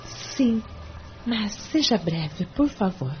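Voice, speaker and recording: A woman's voice: medium pitch, very animated, very slow. An actress with a Brazilian accent playing a reserved, embarrassed married woman confiding in a hesitant way in an audio drama. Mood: reluctant, guarded. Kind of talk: audio drama acting in Portuguese. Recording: dull lo-fi audio with clear noise.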